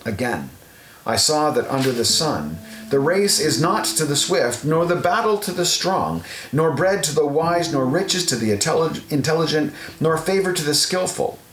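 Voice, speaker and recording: A man narrating an audio Bible, reading scripted scripture in a measured, solemn recitation. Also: on the high side, expressive, fairly bright tone. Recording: roomy echo, guitar music underneath.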